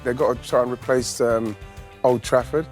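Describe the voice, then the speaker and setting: A man chatting casually on a football panel, building his points haltingly with repeated phrases. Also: mid-range voice, steady tone, darkish tone, soft background music.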